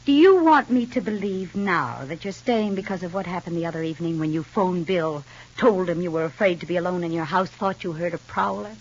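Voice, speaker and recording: A woman's voice: fairly low pitch, a little fast. An actress in an old radio soap opera playing a resentful, defensive mature single woman with pointed retorts. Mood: bitter, suspicious. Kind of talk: 1940s-50s radio soap opera acting. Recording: muffled old radio recording.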